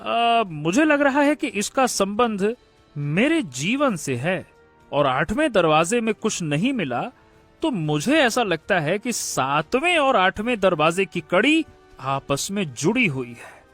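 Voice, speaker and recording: Kind young cartoon prince, male actor: slow, theatrical delivery, high voice, excitable. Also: soft background music, heavily acted. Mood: pondering, puzzled. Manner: theatrical, drawn-out exclamations and hums. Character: excitable kind-hearted young prince of a fairy-tale kingdom. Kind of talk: animated Hindi moral-story cartoon dialogue.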